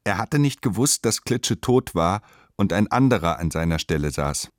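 A man narrating a radio play in a measured, deliberate way, reading literary prose. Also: sits low, expressive, no room sound, studio quality.

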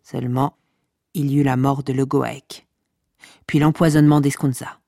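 Wry storyteller, very low pitch, dark relaxed tone, deadpan, sardonic, comic audio fiction. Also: female voice, normal speed, gravelly, dry recording, clean studio sound. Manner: deadpan, sardonic, addresses listeners directly. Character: sardonic, teasing female storyteller scolding her listeners. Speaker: narrator. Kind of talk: scripted comic narration, podcast fiction.

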